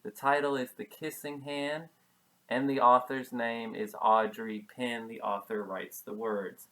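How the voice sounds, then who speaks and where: A male preschool teacher reading a picture book to young children. His delivery is slow and patient, with simple explanations and repeated key words. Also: medium pitch, little pitch movement, a bit bright, poor audio. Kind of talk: children's storybook read-aloud with simple explanations.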